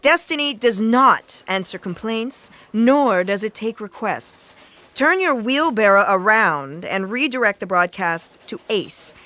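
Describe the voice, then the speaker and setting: An actress playing a haughty, commanding editor-in-chief in an audio theatre comedy, issuing crisp pronouncements. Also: female voice, mid-range voice, animated, a little slow, bright, forceful tone. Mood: imperious. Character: imperious editor-in-chief of the Book of Destiny.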